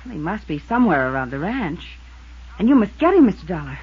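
A woman's voice: fairly low pitch, sing-song, quick pace, a little mellow, breathy edge, exaggerated voice. A woman playing a poised, evasive rancher's widow with an American accent in a 1950s radio drama. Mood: urgent. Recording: dull, band-limited 1950s radio recording.

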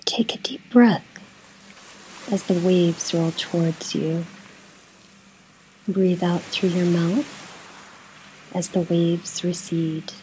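A woman leading a guided meditation in a soft, at times breathy voice, soothing and unhurried, with pauses between short instructions. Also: on the low side, fairly even intonation, slight background noise, low-quality recording.

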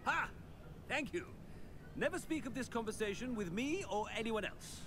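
A man voicing a pompous, flustered male headmaster who blusters and hesitates, clearing his throat. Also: very high-pitched, animated, moderate pace, background noise.

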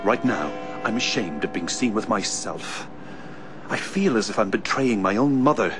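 A man's voice: on the low side, lively intonation, moderate pace, background noise, natural voice. An actor playing an evasive, guilt-ridden man in a radio drama, with an English accent and a hesitant, sheepish manner. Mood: uneasy, ashamed.

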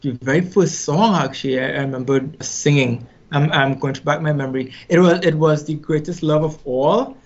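Reflective Trinidadian man, slightly dull sound. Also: fairly high pitch. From Trinidad and Tobago. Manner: unhurried, reflective, repeats words as he thinks. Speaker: musician guest. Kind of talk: casual interview storytelling.

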